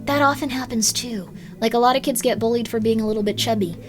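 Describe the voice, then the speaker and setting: A young woman chatting on a live stream, her slightly high voice jumping up and down as she talks. She rambles through viewer comments with loads of "like" fillers and an easygoing pace. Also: fast talker. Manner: rambling, lots of "like" fillers, laughs often, swings up and down in pitch.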